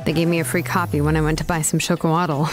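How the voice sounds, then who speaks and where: A woman's voice: low-pitched, little pitch movement, quick pace, lightly acted. A woman playing an easygoing, considerate young tribeswoman in an anime fantasy game, speaking in a casual, helpful way. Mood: matter-of-fact. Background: background music.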